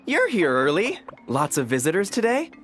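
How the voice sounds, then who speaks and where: A welcoming female receptionist at a fantasy game resort. Her voice sits slightly low with expressive, swooping intonation, and music plays behind. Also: normal speed. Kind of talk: animated game voice acting.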